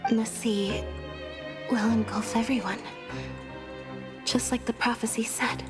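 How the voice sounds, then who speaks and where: Casting: earnest, courteous young female, fairly high voice, game dialogue with clear music behind. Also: steady tone, takes it slowly. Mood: thoughtful, concerned. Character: gentle, polite, earnest young woman, protective sister. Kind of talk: video game voice acting.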